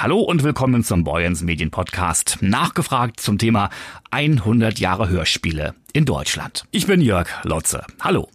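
Lively, low-voiced man narrating a podcast. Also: slightly rough, dry recording. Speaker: podcast host and reporter.

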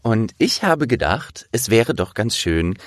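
A man in his thirties chatting casually as a podcast interviewer, with a jovial, animated manner. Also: lowish voice, a little slow, no room sound, studio quality.

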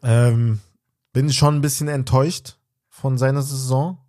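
Male podcast co-host, mid-pitched voice, relaxed and slangy. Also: measured pace. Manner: casual slang, trails off, thinks aloud. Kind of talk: casual chat.

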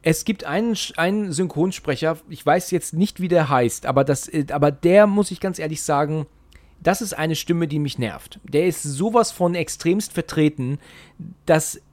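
A man's voice: a little high, moderate pace, a little mellow, no echo. A man interviewing in a casual, chatty way, rambling and often repeating phrases as he circles back. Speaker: podcast interviewer.

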